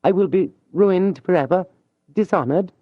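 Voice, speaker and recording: A man's voice: sits high, moderate pace, no room sound, voice pushed up. A man reading an audiobook, voicing a proud, passionate young noblewoman who pleads in a heightened, imploring way. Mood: pleading. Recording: dull dry studio audio.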